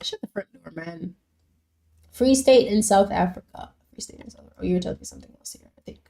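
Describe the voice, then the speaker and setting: A woman's voice: lowish voice, lively intonation, fast, vocal fry, warm tone. A young woman from Jamaica chatting casually on a live stream, reading viewers' comments and answering them by name with lots of fillers.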